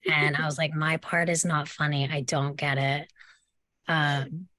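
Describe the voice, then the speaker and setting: A woman's voice: low-pitched, little pitch movement, a little slow, bright, forceful tone, dry recording. A woman telling stories casually in a group discussion, with hesitant, thoughtful phrasing. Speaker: actor in table read cast.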